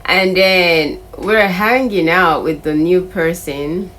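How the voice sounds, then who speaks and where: A woman's voice: lowish voice, takes it slowly, fairly bright tone. A young woman from Nigeria, chatting casually in a vlog and laughing often between lines, filmed on a phone outdoors near a road. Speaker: vlogger. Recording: noisy street recording with passing traffic.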